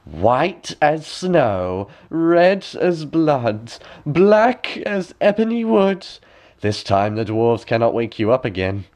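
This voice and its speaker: A man voicing a wicked, vain old queen who coaxes slyly in a theatrical, drawn-out way for a storybook. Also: mid-range voice, very animated, a little mellow, pitched above the performer's own voice. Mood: wicked, gloating.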